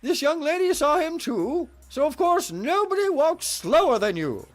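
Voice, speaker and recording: A man voicing a fussy, excitable old king with a shrill, drawn-out theatrical voice. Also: soft background music, full character voice. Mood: fussy excited.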